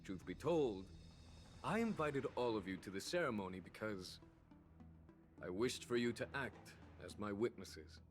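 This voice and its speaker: An actor voicing a calm, courteous general in a video game, speaking in a measured, formal way. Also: male voice, on the low side. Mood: solemn, apologetic. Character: calm, dignified male general, courteous and burdened. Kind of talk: scripted video game voice acting. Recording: game music underneath.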